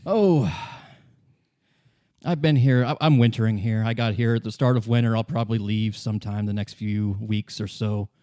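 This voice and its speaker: A man voicing a guarded, wry young woman in hiding, polite and halting, in a tabletop roleplay. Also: lowish voice, steady tone, warm tone, no echo, clean studio sound, lightly acted.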